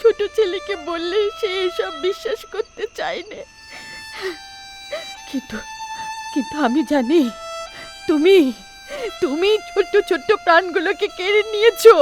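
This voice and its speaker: A woman's voice: very high-pitched, lively intonation, measured pace, pauses often, over music. A woman voicing a stern, secretive elderly grandmother in a cartoon, with a West Bengali accent and an emphatic, insistent delivery. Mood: pleading, anguished. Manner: emphatic, insistent, repeats phrases. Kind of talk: dramatic cartoon voice acting.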